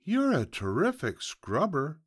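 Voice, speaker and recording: Man voicing a high-pitched, wheedling little piglet. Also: huge pitch swings, measured pace, husky, full character voice. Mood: sweetly wheedling. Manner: wheedling, innocent-sounding, politely suggesting. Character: small, polite, wily young male piglet. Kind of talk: children's storybook read-aloud.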